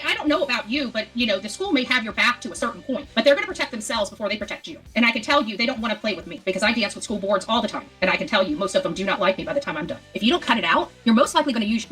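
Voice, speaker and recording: A woman's voice: a little high, pushed and bright. A woman content creator in an informal, unscripted rant to her audience, combative and emphatic, often repeating herself. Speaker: online video content creator.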